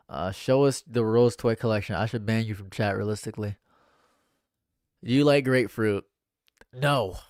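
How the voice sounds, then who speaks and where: A young man with a stuffed-up nose, reading chat comments on a livestream in a dry, deadpan way. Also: fairly low pitch, no echo. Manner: dry and deadpan, laughs at own jokes. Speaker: livestream host. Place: home room.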